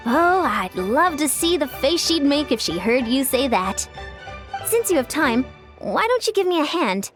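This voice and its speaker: A woman's voice: high-pitched, very animated, unhurried. A woman playing an upbeat, confident young course designer in a video game, explaining things in a self-assured way. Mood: friendly, eager. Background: music.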